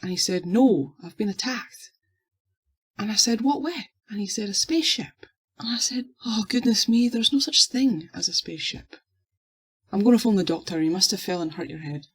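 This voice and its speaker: A woman's voice: mid-range voice, lively intonation, normal speed, breathy edge. A woman playing an astonished, doubting wife, chatty and homely, in dramatised witness testimony. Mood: astonished, disbelieving. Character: astonished, doubting Scottish wife recounting her husband's return.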